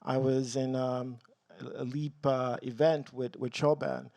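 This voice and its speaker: A man in his forties from Nigeria, telling his career story on a panel, earnest, with many pauses and ums, getting a little emotional. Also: medium pitch, little pitch movement, gravelly, mellow, no room sound. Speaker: tech team leader on a panel.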